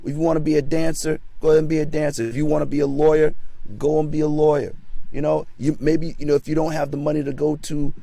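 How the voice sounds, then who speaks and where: A man's voice: on the high side, little pitch movement, fast, vocal fry, warm tone, no room sound. A man talking as a guest in a casual interview, often repeating words as he stumbles, giving encouraging advice. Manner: encouraging, motivational. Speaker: guest actor.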